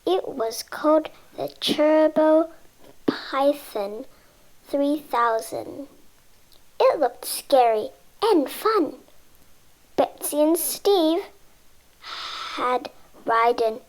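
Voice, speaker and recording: A female reader reading a children's picture book aloud, halting word by word in short phrases. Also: sits high, lively intonation, low-quality recording. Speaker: storybook reader.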